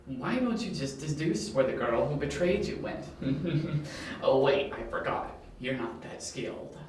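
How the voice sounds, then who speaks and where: A man's voice: on the high side, lively intonation, measured pace. A man playing a smug, taunting criminal mastermind with menacing politeness in an amateur audio drama. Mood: taunting, amused. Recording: very echoey, distant, with faint hiss.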